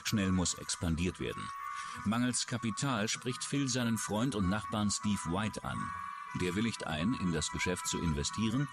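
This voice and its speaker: A man's voice: on the low side, lively intonation, normal speed. A man reading scripted true-crime documentary narration in the role of narrator. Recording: music behind the voice. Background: loud background music.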